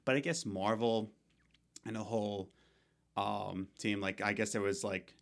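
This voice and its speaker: Young male podcast co-host, slightly low voice, loose rambling delivery with fillers. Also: steady tone, a little slow, gravelly. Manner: rambling, many fillers and restarts. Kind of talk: casual gaming podcast chat.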